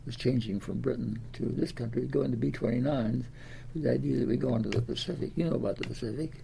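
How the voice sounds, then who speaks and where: A very old man from Iowa, ninety years of age, recalls his life in a gravelly, mellow voice. He rambles along with fillers and restarts. Faint room ambience sits behind him. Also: mid-range voice, fast talker, a little hiss or hum. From Des Moines, Iowa. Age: 90s. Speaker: interviewee. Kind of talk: oral history interview.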